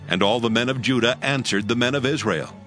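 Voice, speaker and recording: A man's voice: medium pitch, brisk, a bit bright, soft background music. A man narrating scripture as scripted, solemn storytelling.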